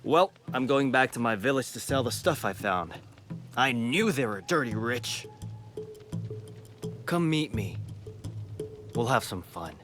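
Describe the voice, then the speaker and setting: Man playing a cocky, lively-pitched village rogue. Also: unhurried, long pauses, over music, close to an everyday voice. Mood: flirtatious. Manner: casual, brash. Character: cocky, flirtatious male village rogue, a scrappy fighter. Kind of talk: video game cutscene acting.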